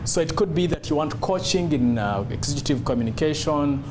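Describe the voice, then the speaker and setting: High-pitched man coaching over background noise. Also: expressive. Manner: emphatic, deliberate, repeats key words. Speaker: public speaking coach. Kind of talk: instructional talk to camera on voice modulation.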